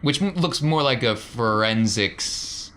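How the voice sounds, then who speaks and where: A man's voice: medium pitch, animated, slow pace, fairly bright tone, slight background noise. A man narrating a tabletop game as game master, casually and with playful, informal descriptions.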